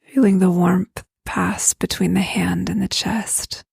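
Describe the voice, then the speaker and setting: Female meditation guide: very husky and breathy, heavy creak, gentle slow delivery. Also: on the low side, fairly even intonation, dry recording, clean studio sound. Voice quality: soft, breathy, near-whisper. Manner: gentle, unhurried, spacious phrasing. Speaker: guided meditation leader, host.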